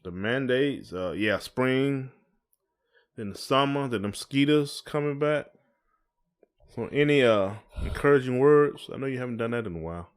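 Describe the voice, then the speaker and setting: A man's voice: mid-range voice, animated, moderate pace, clean tone. Male podcast co-host, mid-range voice, casual and folksy, colloquial phrasing. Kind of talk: casual conversational podcast chat.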